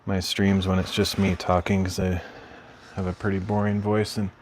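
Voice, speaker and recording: A man streaming from his craft room at home, chatting casually while he works, rambling and correcting himself in a mild, low-key way. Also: flat delivery, normal speed, darkish tone, a little hiss or hum.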